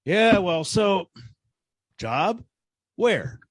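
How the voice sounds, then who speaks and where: An actor playing a weary, sarcastic private detective with a husky voice and an exasperated manner, in a 1940s style radio comedy. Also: male voice, high voice, sing-song, very slow, no echo, studio quality, full character voice. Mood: impatient.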